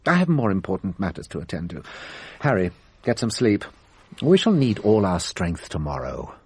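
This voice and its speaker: A man's voice: low voice, very animated, normal speed, husky, full character voice. A man acting in a radio drama as a vain, playful middle-aged French detective, theatrical, self-satisfied and teasing. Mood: assured, brisk.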